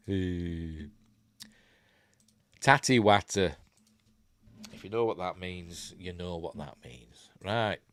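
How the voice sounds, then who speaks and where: A man's voice: low voice, extremely slow, pauses often, fairly bright tone, dry recording. An older man with a Yorkshire accent and a stuffy nose, reading entertainment news on a live stream with blunt, wry asides. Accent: Yorkshire English. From Yorkshire, England. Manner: reads articles aloud with wry, blunt off-the-cuff asides. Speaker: host of a geek news stream.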